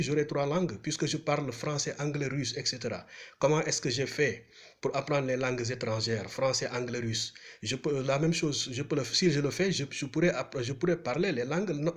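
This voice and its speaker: A man whose first language is Wolof, hosting his own channel and speaking off the cuff to camera, earnest and halting with frequent restarts and fillers. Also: a little high. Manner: hesitant, many restarts and fillers; earnest, grows more urgent when appealing to listeners. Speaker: channel host. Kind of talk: unscripted talk to camera.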